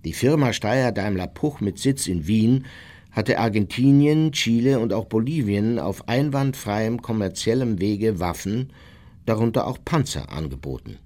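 An elderly war criminal defending his record in a radio drama. The voice is fairly low and the delivery is formal and measured. He sounds self-righteous and coolly unrepentant. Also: lively intonation, warm tone. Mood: self-justifying. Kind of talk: scripted radio drama monologue.

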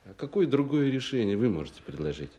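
An actor playing a brusque, authoritative Soviet colonel in a radio drama, speaking in a firm, clipped, insistent way. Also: male voice, medium pitch, sing-song, quick pace, dark tone, natural voice. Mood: firm, explaining.

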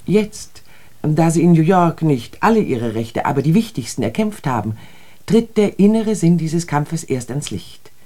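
Mellow, expressive critical observer. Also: medium pitch, measured pace. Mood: earnest, reflective. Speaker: radio play speaker. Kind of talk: literary German radio drama monologue.

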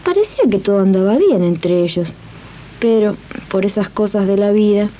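A woman's voice: mid-range voice, lively intonation, normal speed, close to an everyday voice. A woman with an Argentine accent playing a chatty domestic worker telling stories to her comrades in a community radio drama. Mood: friendly storytelling. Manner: colloquial, addresses listeners as comrades. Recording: dull archive radio recording, music behind.

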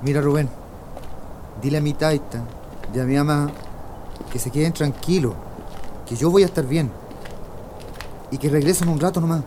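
A man's voice: on the high side, normal speed, long pauses, noisy background, natural voice. A man acting a determined, idealistic young student leader in a radio drama, colloquial, with a Chilean accent. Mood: earnest, reassuring. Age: young adult. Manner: colloquial, earnest.

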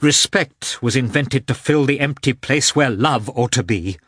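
A man's voice: medium pitch, unhurried, fairly bright tone, breathy edge, no echo, clean studio sound, voice pushed up. A man voicing a proud, jealous noblewoman in an emotional, accusing manner, as a dramatic audiobook reading.

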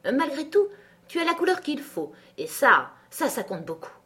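A woman's voice: high-pitched, sing-song, normal speed, pushed and bright, voice pushed up, exaggerated voice. A woman voicing a haughty, blunt talking rose in a children's storybook, with a severe, condescending manner.

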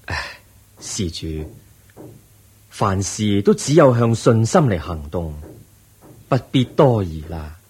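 An actor playing the Buddha in a radio drama, a calm, patient teacher with a husky voice and a measured, instructive manner. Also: male voice, fairly low pitch, exaggerated voice. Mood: solemn, reassuring. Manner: measured, preaching, gently instructive. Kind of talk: Cantonese radio drama acting.

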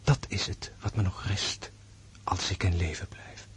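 An actor playing a gravely wounded nobleman in a radio drama, tender and sorrowful, musing in a hushed, introspective way. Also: sits low, fairly even intonation, whispered, full character voice. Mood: sorrowful, resigned. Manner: slow, hushed, introspective monologue.

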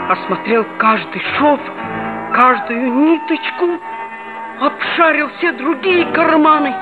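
High-voiced boastful schoolboy in a radio play. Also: female voice. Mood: anxious. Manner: expressive, dramatic, confiding. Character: boastful, sulky schoolboy narrating his own misadventures. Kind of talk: 1960s Soviet radio drama monologue. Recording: dull, lo-fi old radio recording.

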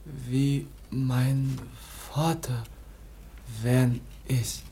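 A man playing a dazed, exhausted youth of about seventeen in a German radio play, halting and barely able to form words. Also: medium pitch, fairly even intonation, dark tone, slight background noise. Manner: halting, barely able to speak, repeats fragments. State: utterly exhausted.

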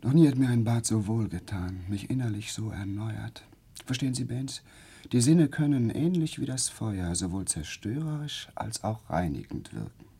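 Radio drama young aristocrat: lowish husky voice, vain, overwrought, impassioned and highly expressive in melody. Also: male voice, normal speed, exaggerated voice. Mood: composed, lordly. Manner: florid, theatrical, impassioned. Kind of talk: German radio play acting.

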